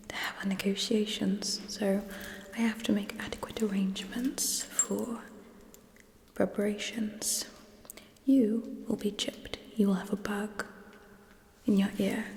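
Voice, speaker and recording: A young woman playing a cold, scheming alien queen in an ASMR roleplay, speaking in an imperious, condescending, hushed way. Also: mid-range voice, vocal fry. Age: young adult. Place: small indoor set with draped backdrop. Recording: echoey reverberant close whisper.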